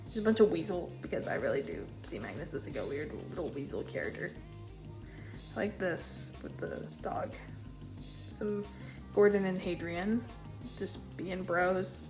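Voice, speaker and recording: A young woman with a congested, stuffy voice, casually touring her sketchbook in a tired, low-key way and swearing now and then. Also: animated, measured pace, over music. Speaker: artist showing a sketchbook.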